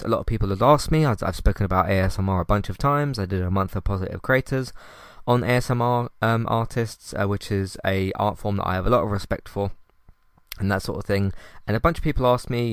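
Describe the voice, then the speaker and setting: A male podcast host with a calm, low voice, talking casually through his recent episodes and match ratings and often starting over or stumbling on fillers. His tone is smooth and resonant, and the recording is dry and close. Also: no echo. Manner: calm, conversational, with frequent fillers and restarts. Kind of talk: solo podcast commentary.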